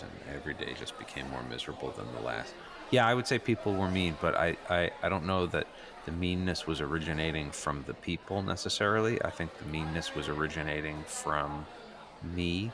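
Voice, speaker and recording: Casting: awkward teen transfer student, bottom-of-the-range voice, understated improv comedy delivery, unsure and stop-start. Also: male voice, extremely low pitch, lively intonation. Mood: self-doubting. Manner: hesitant, halting, understated. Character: self-doubting teenage boy, new transfer student at school. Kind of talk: improvised comedy dialogue, naturalistic casual chat. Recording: noisy room ambience.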